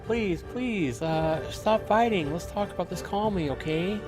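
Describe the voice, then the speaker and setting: A man's voice: high voice, lively intonation, unhurried, music in the background, voice pushed up. A man voicing an earnest young woman in a visual novel, a pleading, hesitant peacemaker. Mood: pleading, upset. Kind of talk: visual novel character read aloud.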